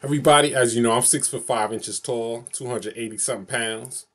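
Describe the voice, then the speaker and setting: A man's voice: medium pitch, a little fast. A man talks straight to a webcam in a small bedroom. He rambles casually and loops back over the same phrases again and again. The sound is plain and close, picked up by the camera's own mic. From New York City. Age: 40s. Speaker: vlogger. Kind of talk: casual solo vlog to camera.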